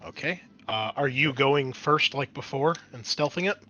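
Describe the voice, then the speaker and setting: A man's voice: medium pitch, moderate pace, sharp tone, muffled recording, faint music behind. A man acting as game master, describing scenes conversationally for a tabletop roleplaying game.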